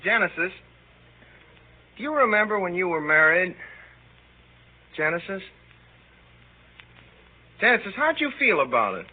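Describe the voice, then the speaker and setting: High-pitched earnest teenage boy, old radio. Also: sing-song, a little slow, pauses often, slightly rough, heavily acted. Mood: curious, musing. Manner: boastful, rambling, insistent. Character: earnest, know-it-all lovestruck teenage boy. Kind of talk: 1930s radio comedy acting. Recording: muffled old 1930s radio broadcast.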